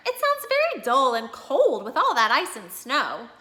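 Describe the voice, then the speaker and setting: A woman voicing a sharp, fretful housewife. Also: high voice, wildly swooping pitch, measured pace, pitched above the performer's own voice, exaggerated voice. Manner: sharp, worried scolding. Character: fussy thrifty housewife, middle-aged.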